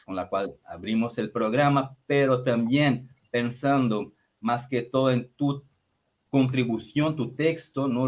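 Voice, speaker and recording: A man hosting a radio interview, phrasing his introductions and questions in a wordy, searching way. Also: medium pitch. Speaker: radio host.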